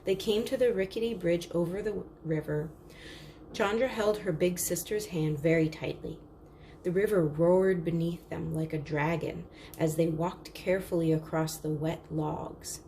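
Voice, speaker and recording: Lower-pitched woman reading a children's story. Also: a little slow, mellow, slight background noise, rough recording. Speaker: storybook narrator. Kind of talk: read-aloud children's story narration.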